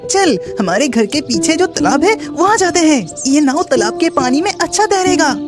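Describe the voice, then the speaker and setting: A woman voicing a confident, carefree young village boy, the elder brother in an animated moral story. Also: sits high, moderate pace, music in the background. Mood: eager, bossy.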